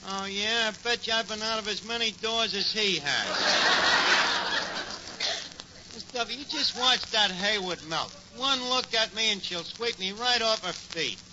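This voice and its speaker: An actor playing a wisecracking tavern manager with a New York accent and slangy, mock-grand speech in old radio comedy. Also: male voice, high voice, moderate pace, hardly any pauses, lightly acted. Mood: cocky. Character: scheming, wisecracking male tavern manager, malaprop-prone. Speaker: sitcom lead. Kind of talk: 1940s radio comedy acting. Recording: noisy, dull 1940s radio recording.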